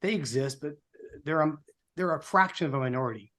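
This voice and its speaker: A man's voice: on the high side, a little fast, a bit bright. A sixty-year-old American man giving opinionated, rhetorical political commentary as co-host of a live talk show.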